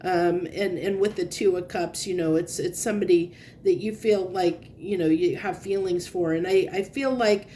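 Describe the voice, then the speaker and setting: A stuffed-up, sniffly woman talks through a tarot reading in a loose, wandering way. She circles back on her thoughts and offers gentle reassurance. A faint low rumble sits underneath her voice. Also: moderate pace, hardly any pauses. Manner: meandering, searching for words, gentle reassurance. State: congested, sniffly. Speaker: tarot reader. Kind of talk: casual tarot reading to camera.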